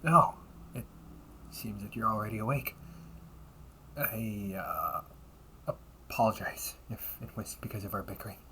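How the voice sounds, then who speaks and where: A man performs a demon-hunting officer for an audio roleplay, courteous and quietly smug. His voice sits a little low but has a bright, crisp edge. He speaks in measured, teasing phrases and slips into breathy asides, over a faint bed of background noise. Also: poor audio. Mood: apologetic, courteous. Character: wry, politely smug demon-hunting officer, calm and apologetic. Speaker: amateur voice actor. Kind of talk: audio roleplay, one-sided dialogue addressed to the listener.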